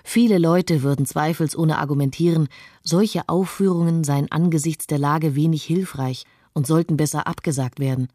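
A woman's voice: sits low, fairly even intonation, normal speed, dark tone, dry recording, studio quality. A woman playing an earnest, composed musician's wife, reading a first-person account in a radio play. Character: earnest musician's wife who believes art gives hope.

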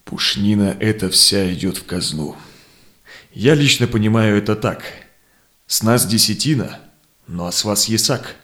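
An actor playing a steadfast, conciliatory Cossack envoy in a verse audio drama, speaking in measured, persuasive declamation. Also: male voice, lowish voice, fairly bright tone. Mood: reasoning, persuasive. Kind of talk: theatrical verse drama acting.

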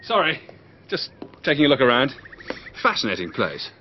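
A man's voice: mid-range voice, very animated, normal speed, a little hiss or hum, lightly acted. Man playing upper-class young Englishman, easygoing, inquisitive, hugely varied intonation, dull radio drama. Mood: breezy.

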